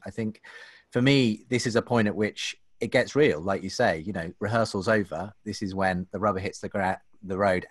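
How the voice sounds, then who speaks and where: Guy in his 30s hosting a talk show from his living room, lowish voice, dry close sound, lots of ums and restarts. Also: no room sound. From England. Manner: chatty, many fillers and restarts. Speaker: host. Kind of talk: casual podcast hosting. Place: home room. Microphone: desk microphone, webcam.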